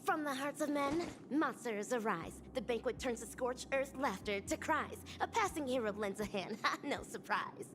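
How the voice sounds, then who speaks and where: A woman's voice: on the high side, lively intonation, moderate pace, hardly any pauses, background noise, lightly acted. A woman voicing a grandiose rhyming ninja girl. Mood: reciting. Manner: grandiose, recites in rhyme. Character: aloof young female ninja, dramatic and rhyme-spouting. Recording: game audio with loud background music.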